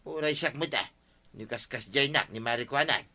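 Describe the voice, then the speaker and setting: A man's voice: medium pitch, normal speed, pushed and bright. A man playing a grumbling villager fed up with squabbles in an Ilocano radio comedy drama.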